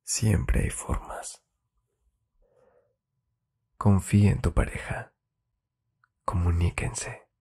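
A man hosting intimate ASMR, giving advice softly and breathily, at times slipping into a whisper, close to the mic. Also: low-pitched, little pitch movement, drawn-out words, pauses often. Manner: soft, breathy, intimate, partly whispered delivery. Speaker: ASMR host. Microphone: close-up binaural microphone.

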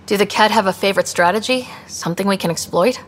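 A woman's voice: mid-range voice, fast, lightly acted. A woman voicing a young space explorer in a video game, earnest, direct and full of probing questions. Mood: curious, earnest. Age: young adult. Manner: direct, inquisitive. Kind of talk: video game dialogue acting.

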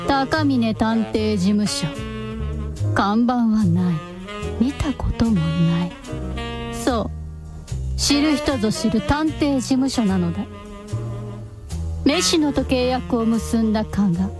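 A woman's voice: on the high side, animated, slow, pauses often, music in the background. A woman narrating a radio drama from a script.

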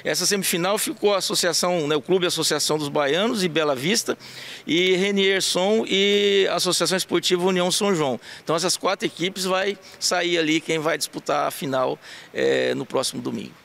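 Brazilian man, 50s, gravelly official giving a halting TV interview. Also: high voice, a bit bright. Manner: halting, many fillers and restarts. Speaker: municipal sports secretary. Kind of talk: TV news interview answers. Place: indoors in front of a printed backdrop. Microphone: handheld reporter mic held close.

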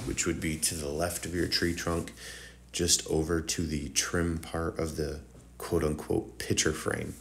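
A man's voice: extremely low pitch, a bit bright, a little hiss or hum. A man with a slightly nasal, congested morning voice giving a casual, rambling tutorial voice-over straight to viewers. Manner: informal, rambling, addresses viewers directly. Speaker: host of woodworking tutorial channel.

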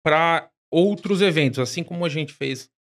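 A man in his forties, a guest on a business podcast in a studio, speaking haltingly with lots of fillers. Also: on the high side, a bit bright. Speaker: guest, event founder. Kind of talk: casual interview chat. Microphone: studio mic on boom arm, headphones.

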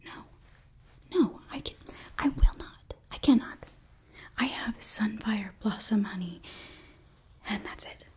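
Slow, whispering young witch played by a woman. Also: mid-range voice. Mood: exasperated. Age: young adult. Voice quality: breathy whisper. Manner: hushed, halting, fussy. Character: young witch librarian, earnest, flustered, apologetic. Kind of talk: whispered ASMR roleplay. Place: small indoor set, close to microphone. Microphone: close ASMR microphone.

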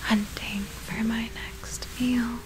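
A woman playing a tender, possessive female vampire in an ASMR roleplay, speaking in a breathy whisper with formal, archaic, lingering phrasing. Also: fairly high pitch, level tone, slow, noisy background. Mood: yearning, adoring.

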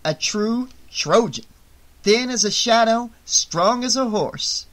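A man's voice: high-pitched, animated, slow, fairly bright tone, voice pushed up, heavily acted. A man voicing a brash woman biker gang leader for an audiobook, with a mocking, taunting drawl. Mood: gleeful mocking.

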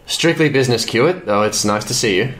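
A man's voice: mid-range voice, expressive, a little fast, a bit bright, lightly acted. Man acting a wry smuggler pilot, roomy sound. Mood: dry. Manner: casual, dry. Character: wry, easygoing smuggler pilot and crew leader. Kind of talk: audio drama acting. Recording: roomy with faint background noise.

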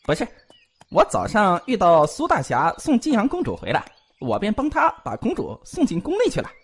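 A man's voice: high voice, huge pitch swings, moderate pace, slightly rough, exaggerated voice. A man voicing a cheeky chattering young doctor. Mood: teasing. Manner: bantering, giggly, exaggerated pleading. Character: chatty joking young male physician, cheeky and cowardly. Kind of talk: multi-cast web audio drama.

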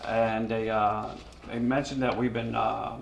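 A man's voice: fairly low pitch, level tone, measured pace, creaky, reverberant, a little hiss or hum. A man in his thirties, a bakery owner appearing as a guest, talking earnestly and proudly about his business. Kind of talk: casual interview chat. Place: small studio with banners.

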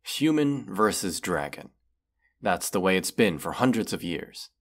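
A man voicing a proud, menacing wounded dragon in a fantasy audio roleplay, speaking in a haughty, deliberate, archaic way. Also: low voice, sing-song, full character voice. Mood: scornful.

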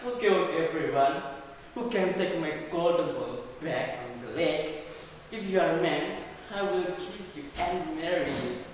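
Man playing a theatrical high-voiced young princess. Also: unhurried, heavy reverb, in a large hall, distant mic, a little hiss or hum, close to an everyday voice, rough recording. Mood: pleading. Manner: exclamatory, theatrical. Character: young princess, dramatic, distressed and pleading.

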